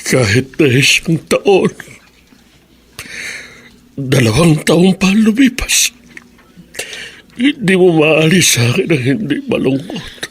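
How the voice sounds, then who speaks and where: Mournful old Filipino widower. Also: male voice, fairly high pitch, sing-song, slow pace, pauses often, husky, exaggerated voice. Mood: sad, resigned. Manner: mournful, resigned. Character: grieving elderly widowed father, melancholy and resigned. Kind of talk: Tagalog radio drama acting.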